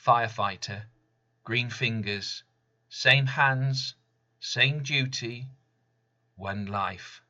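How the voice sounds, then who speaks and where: A man reading his own poem deliberately, in short emphatic phrases with pauses. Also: mid-range voice, sharp tone, muffled recording. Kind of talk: poetry recitation.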